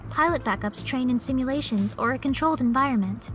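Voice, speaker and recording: A young girl pilot in a game, higher voice, matter of fact, music behind. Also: fast, a bit bright. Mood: reasoning. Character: reasonable, explanatory young female mech pilot teammate. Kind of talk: anime-style game voice acting. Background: game background music.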